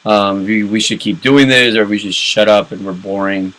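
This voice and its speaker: A man's voice: on the low side, fairly even intonation, moderate pace, a bit bright, low-quality recording. A man co-hosting a casual live video podcast, rambling along with crude jokes. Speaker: podcast co-host.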